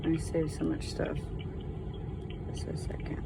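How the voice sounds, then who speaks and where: A woman speaking hesitantly and haltingly as a caller explaining a situation on a phone call. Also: low-pitched, monotone, gravelly. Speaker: caller reporting to sheriff's department. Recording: noisy phone call, car and traffic noise.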